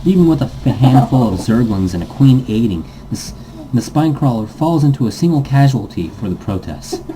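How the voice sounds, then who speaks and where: Dark-voiced man narrating, very lively pitch. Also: fairly quick, slight background noise, poor audio. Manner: stumbles and rereads phrases. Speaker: reader, host of a let's read series. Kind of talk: dramatic read-aloud narration of visual novel.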